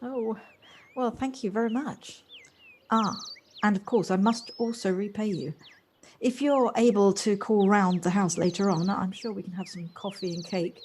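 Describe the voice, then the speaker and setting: A woman's voice: medium pitch, fairly even intonation, normal speed, darkish tone, natural voice. A woman acting a polite, slightly hesitant, well-spoken woman in an audio play, recorded at home. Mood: friendly, polite. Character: Jocelyn, polite warm woman settling into a house. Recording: home recording on personal device, faint noise.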